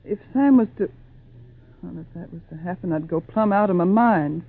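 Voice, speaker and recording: A woman's voice: lowish voice, normal speed. A woman playing an anxious, folksy hill farm wife with a rural Southern accent, in 1940s radio acting. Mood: worried, pleading. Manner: folksy, fretful. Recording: narrow, tinny 1940s radio recording.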